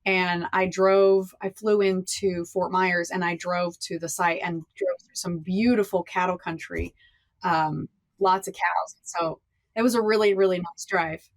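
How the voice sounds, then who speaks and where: A woman's voice: fairly low pitch, steady tone, unhurried, rough recording. A woman hosting an interview podcast, welcoming her guest in a friendly, chatty way with a few restarts. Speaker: podcast host. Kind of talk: casual interview chat.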